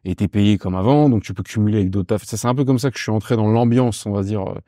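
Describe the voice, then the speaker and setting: A man in his thirties from Paris, a podcast guest talking casually and informally, often pausing with fillers as he searches for words. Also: lowish voice, dark tone, no room sound, clean studio sound. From Paris, France. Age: 30s. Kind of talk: casual conversational interview.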